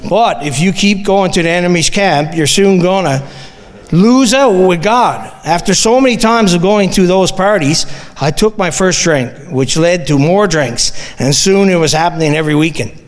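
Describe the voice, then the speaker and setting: A middle-aged man with a Newfoundland accent reads his own testimony to a church, plain and earnest, stumbling now and then. His voice sits high for a man, and a long reverb trails it through the hall. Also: normal speed. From Newfoundland, Canada. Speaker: guest giving a church testimony. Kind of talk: reading a personal testimony aloud.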